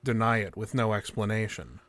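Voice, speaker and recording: A man's voice: fairly low pitch, fairly quick, fairly bright tone, no room sound, natural voice. A man playing a detached, formal interrogator from a mysterious force in a science fiction audio drama. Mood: clinical probing. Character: cold, clinical interrogator of a mysterious unseen force. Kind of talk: scripted audio drama acting.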